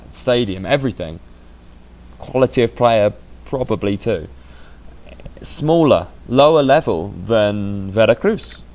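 A man's voice: fairly low pitch, a little mellow. A man co-hosting a football podcast, talking casually with a dry sense of humour and thinking aloud. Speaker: podcast co-host.

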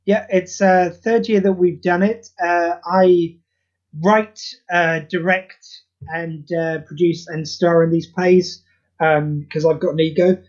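A man's voice: sits high, flat delivery, takes it slowly, darkish tone. Hesitant man from the UK, dull audio. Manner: hesitant, many fillers, self-mocking. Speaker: guest, audio drama writer. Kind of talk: casual chat.

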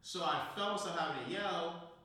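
An American man hosting a casual podcast, chatting and telling stories in slangy, informal talk, his voice slightly hoarse from recovering after losing it. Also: on the high side, moderate pace, bright, forceful tone. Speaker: podcast host. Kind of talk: casual podcast chat and storytelling. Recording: echoey room, distant mic, faint noise.

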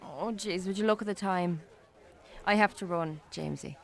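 An actress playing a curious, good-humoured Irish bookshop woman in a radio drama, conversational and gently playful. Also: fairly low pitch, moderate pace, creaky. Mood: friendly, playful. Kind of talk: naturalistic radio drama acting.

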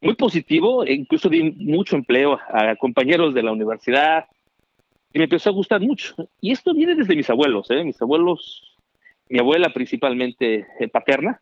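A middle-aged Mexican man telling his story as an interview guest, animated and chatty with many fillers. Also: fairly high pitch, normal speed, no echo. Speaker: guest, founder. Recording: dry, dull remote call audio.

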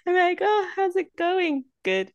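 A woman's voice: very high-pitched, big pitch swings, unhurried, no room sound, lo-fi sound. A young woman co-hosting a casual podcast, teasing her friend and laughing often. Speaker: podcast co-host. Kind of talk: casual chat between friends.

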